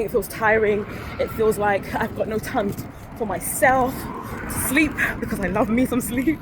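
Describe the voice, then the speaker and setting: Woman vlogger, candid rambling talk, phone mic outdoors, clear street noise. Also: medium pitch. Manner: rambling, candid, self-correcting with small laughs. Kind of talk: casual vlog chat to a phone while walking. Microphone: phone held up to her face. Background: traffic and street ambience.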